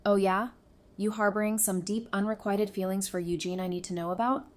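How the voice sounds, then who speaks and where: A woman playing a playful, sassy, warm-hearted doctor in an audio drama, with an expressive, teasing delivery. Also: mid-range voice, brisk, lightly acted. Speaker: voice actor in a fan audio drama. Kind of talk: conversational audio drama acting.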